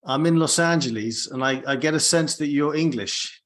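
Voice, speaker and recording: A man's voice: a little high, moderate pace, a bit bright. A man hosting an interview, asking friendly, easygoing questions. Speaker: podcast host. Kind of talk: casual interview chat.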